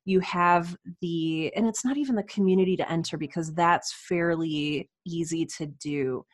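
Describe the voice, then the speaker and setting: A woman's voice: on the low side, normal speed, dry recording, clean studio sound. A woman speaking as a podcast guest in casual interview conversation, reflective and earnest.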